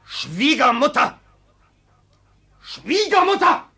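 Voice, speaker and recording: A man's voice: very high-pitched, very animated, slow, creaky, sharp tone, heavily acted. A man acting in a German radio drama as a drunken, vicious, boastful farm owner, blustering, scornful and cursing. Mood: scornful rage.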